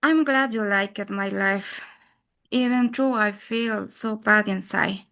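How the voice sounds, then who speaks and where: Brief: uneasy devoted wife in a horror story, female actor, noticeably creaky voice. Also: mid-range voice, a little slow, fairly bright tone. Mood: uneasy, tender. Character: troubled young wife hiding her disgust.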